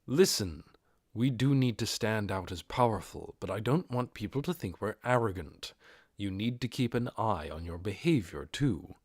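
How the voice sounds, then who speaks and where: A man voicing a measured, discreet undead adventurer leader in a hushed, breathy voice for an audiobook. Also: lowish voice, expressive, dry recording, studio quality.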